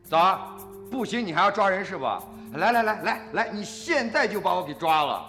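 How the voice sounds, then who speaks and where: Hot-headed farmer, highish animated voice. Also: male voice, moderate pace, big-room sound, soft background music, heavily acted. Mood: angry, blustering. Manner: combative, sarcastic, blustering. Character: hot-headed, defiant farmer, protective grown son. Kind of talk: radio drama dialogue.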